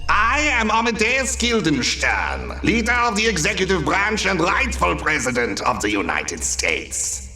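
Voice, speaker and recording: A man's voice: sits high, bright, forceful tone, full character voice. A man voicing a pompous, theatrical megalomaniac villain who claims to be president in a comic video game. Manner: theatrical, grandiose, petulant. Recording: roomy reverberant voice over faint music.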